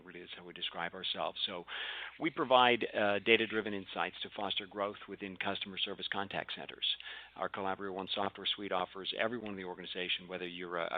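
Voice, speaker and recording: Man, low gravelly voice, halting webinar presenter, muffled remote audio. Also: no echo. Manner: hesitant, many fillers and restarts. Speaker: webinar panelist.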